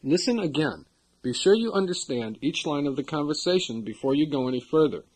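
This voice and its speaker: A man narrating a language course in a measured, formal instructional manner. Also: on the high side, lively intonation, a little mellow, poor audio.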